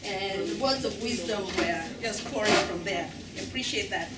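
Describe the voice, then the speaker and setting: A woman's voice: mid-range voice, normal speed. A woman emceeing a live community event and directing a skit, speaking in an encouraging, instructive manner. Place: large hall, live event. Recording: echoey hall, distant mic, very noisy.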